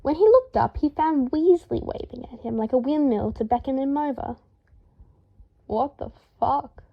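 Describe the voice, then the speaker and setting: Woman audiobook reader, expressive, highish voice, bit of a dull lo-fi sound. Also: brisk, gravelly. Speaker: fan fiction audiobook reader. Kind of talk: expressive scripted narration. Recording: dull lo-fi home recording.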